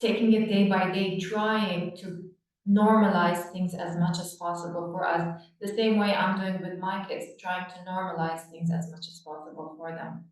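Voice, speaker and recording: Woman from lebanon, lowish voice, kinda far off the mic in an echoey room, slow thoughtful answers. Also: level tone. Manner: soft-spoken, reflective, with many pauses and fillers. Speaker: play therapist guest. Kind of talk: podcast interview.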